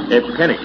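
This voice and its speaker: An actor playing a pompous, blustery middle-aged uncle who hems and chuckles in a 1940s radio comedy. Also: male voice, mid-range voice. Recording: muffled, noisy 1940s radio recording.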